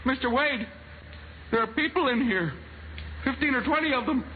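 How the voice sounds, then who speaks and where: A man's voice: very high voice, expressive, normal speed. An actor playing a frightened, stammering middle-aged man in a 1950s radio drama. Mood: bewildered, anxious. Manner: stammering, panicky, breathless exclamations. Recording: noisy vintage radio recording, muffled narrow band.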